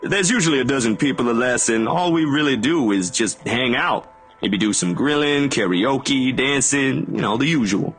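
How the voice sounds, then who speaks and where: A man's voice: mid-range voice, animated, normal speed, fairly bright tone, husky. An actor playing a kind, slightly awkward young guy in a visual novel, informal and slangy. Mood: awkward, easygoing. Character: Zachary, awkward, kind, laid-back casual young guy. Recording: music playing underneath. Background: game music.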